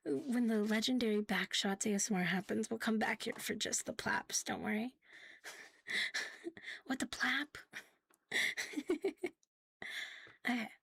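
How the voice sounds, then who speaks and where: Breathy, high-voiced woman livestreamer. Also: takes it slowly, long pauses. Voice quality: soft, breathy, often dropping to a whisper. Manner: playful, giggly, cutesy. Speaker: ASMR live streamer hosting in a virtual world. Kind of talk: casual livestream chat with viewers. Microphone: close, sensitive streaming microphone.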